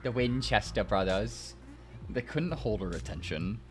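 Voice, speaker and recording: A man's voice: lowish voice, animated, measured pace. A man streaming, reading a story aloud and breaking off for casual asides. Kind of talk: scripted narration with casual asides.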